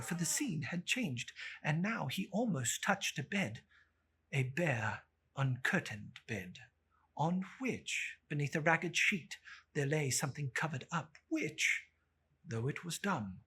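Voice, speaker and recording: Slow, solemn, husky storybook narrator. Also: mid-range voice, fairly bright tone. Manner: solemn, theatrical, deliberate. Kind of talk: dramatic scripted storybook narration.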